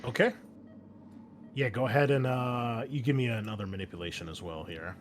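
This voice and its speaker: A man's voice: medium pitch, fairly quick, a little hiss or hum. A man explaining tabletop game rules casually as game master, thinking aloud as he goes. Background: background music.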